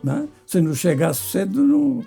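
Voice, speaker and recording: Older Brazilian man, raspy creaky voice, reminiscing interview talk, faint music. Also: high-pitched, sing-song, normal speed, warm tone. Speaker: former radio performer.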